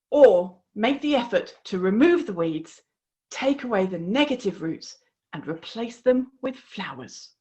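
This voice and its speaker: A woman reading her children's storybook aloud in an expressive, encouraging way. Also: mid-range voice. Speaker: author reading her own book.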